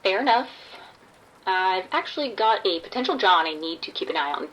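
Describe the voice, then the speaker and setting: A woman's voice: mid-range voice, big pitch swings, a little fast, a bit bright, poor audio. A woman playing a wry undercover policewoman in a radio drama, talking casually and matter-of-factly. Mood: uneasy, businesslike. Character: wry undercover policewoman posing as a street sex worker.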